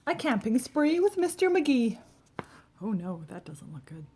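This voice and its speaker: A woman reads a children's storybook aloud in a somewhat high voice. She leans into the rhymes with animated, dramatic emphasis, stretching out key words for young listeners. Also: unhurried, a little hiss or hum. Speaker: storybook reader. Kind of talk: expressive read-aloud of a rhyming children's picture book.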